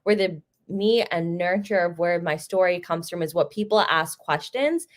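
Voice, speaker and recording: Young american woman w a lowish voice, chatty storytelling talk on a video call. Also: moderate pace. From Chicago, United States. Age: young adult. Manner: conversational, personal storytelling. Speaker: guest speaker.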